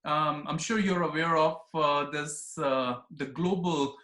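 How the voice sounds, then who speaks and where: A man's voice: fairly high pitch, fairly even intonation, takes it slowly, fairly bright tone, echoey room. A man hosting an interview, asking questions and offering short encouraging affirmations. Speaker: interview host. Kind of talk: conversational interview.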